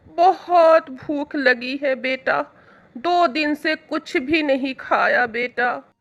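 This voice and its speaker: A woman's voice: sits high, slow, fairly bright tone, muffled recording, full character voice. A woman playing a hungry, grateful old woman in a storybook tale, speaking in a feeble, pleading manner. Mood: weak pleading. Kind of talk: storybook acting.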